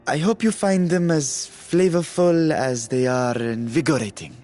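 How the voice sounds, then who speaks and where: A man's voice: on the high side, a little slow, a little mellow, natural voice. A man playing a courteous, earnest nobleman in a game cutscene, speaking formally and politely. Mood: gracious. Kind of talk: video game cutscene acting. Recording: music behind.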